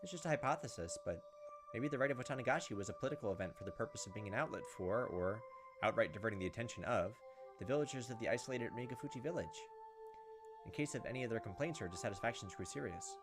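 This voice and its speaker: A man's voice: medium pitch, expressive, fast talker. A man hosting a gaming video, reading visual novel text aloud and adding his own commentary. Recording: game music and insect sounds behind.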